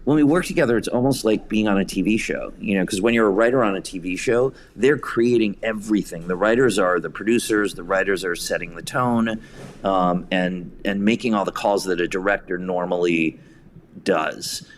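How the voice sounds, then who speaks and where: Low-voiced American man in conversation. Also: normal speed, a little hiss or hum. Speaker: podcast guest, theater writer and director. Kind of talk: reflective interview conversation.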